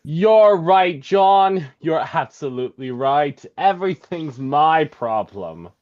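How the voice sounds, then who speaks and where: A man's voice: fairly high pitch, sing-song, takes it slowly. A man playing a sarcastic, aggrieved computer technician in a script table read, with a hesitant delivery. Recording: dull lo-fi mic with faint noise.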